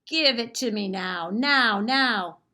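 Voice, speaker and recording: A woman voicing a grumpy, greedy little male troll in a read-aloud storybook, shouting his demands. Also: mid-range voice, drawn-out words, vocal fry, breathy edge, heavily acted. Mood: angry, demanding.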